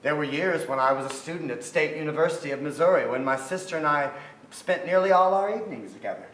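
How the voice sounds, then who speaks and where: Reflective playwright, forties, deliberate and literary, staged reading in a reverberant theatre. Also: male voice, on the high side, animated, fairly bright tone. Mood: fond, reflective. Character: reflective Southern playwright remembering his beloved troubled sister. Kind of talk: dramatic staged reading of memoir. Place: theatre stage before an audience. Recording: echoey theatre stage, noticeable noise.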